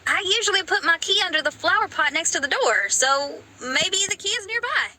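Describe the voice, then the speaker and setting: A woman's voice: very high voice, sing-song, fairly quick, bright, forceful tone, full character voice. A woman voicing a spirited, easygoing young woman in a video game, casual and breezy in manner. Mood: breezy, amused.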